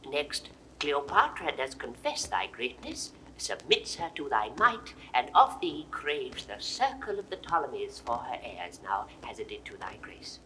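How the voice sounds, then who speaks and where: A humble schoolmaster envoy. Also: male voice, medium pitch, sing-song, a little slow, few pauses, pushed and bright, slight background noise, poor audio. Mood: deferential. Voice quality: formal, deferential. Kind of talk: 1960s studio Shakespeare recording.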